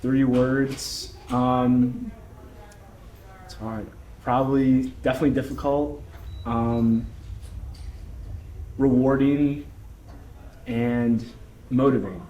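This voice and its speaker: A man's voice: mid-range voice, expressive, slow pace, pauses often, mellow. Hesitant young man in roomy venue. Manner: hesitant, many fillers. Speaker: student interviewee. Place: event venue. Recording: roomy with faint background hum.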